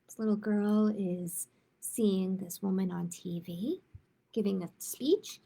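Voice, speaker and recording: A woman hosting a children's storytime, reading a picture book aloud and chatting casually, with an expressive, emphatic delivery. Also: medium pitch, slow, gravelly, breathy edge.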